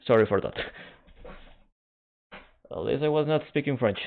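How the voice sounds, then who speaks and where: Man, second-language English, esports stream host, casual chat, halting phrasing, thin phone-like audio. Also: medium pitch, vocal fry, slight background noise. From Canada. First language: Spanish. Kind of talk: casual stream chat while waiting for a match. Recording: narrow phone-like band.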